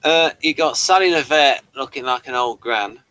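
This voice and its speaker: A British man hosting a casual online review chat in a rambling, halting way. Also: medium pitch, bright, forceful tone, clean tone. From Britain. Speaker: host of online hangout. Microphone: webcam livestream setup.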